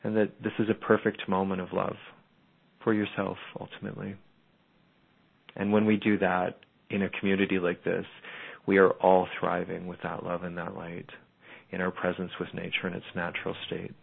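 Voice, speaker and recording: Low gravelly man guiding a meditation. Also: monotone. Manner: soft, slow, soothing. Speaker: spiritual guide leading a meditation. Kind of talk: guided meditation. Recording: phone-line audio.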